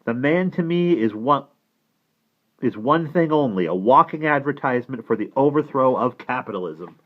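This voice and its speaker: A man's voice: mid-range voice, animated, normal speed, lo-fi sound, voice pushed up, lightly acted. A man voicing an indignant, bitter young man who rants emphatically and sardonically about his boss. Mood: exasperated, indignant.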